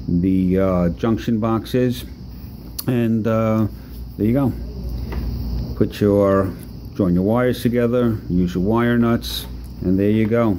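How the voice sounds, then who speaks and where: Guy with a mellow low voice showing how to fix a part, lots of uhs and ums, laid back. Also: slight background noise. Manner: relaxed, halting, many fillers. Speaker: product demonstrator. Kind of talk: hands-on how-to demonstration.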